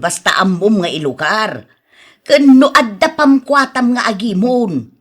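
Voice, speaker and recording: A woman's voice: a little high, a bit bright, breathy edge, heavily acted. A woman with an Ilocano accent acting as an older mother in a radio drama, reproachful and admonishing. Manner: chiding, admonishing. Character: older mother, reproachful, reasoning with her jealous daughter.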